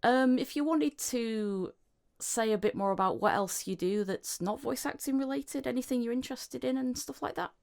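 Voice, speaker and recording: A woman's voice: on the high side, normal speed, gravelly, darkish tone. A woman hosting a casual podcast interview, chatting in a giggly, agreeable way. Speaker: podcast host.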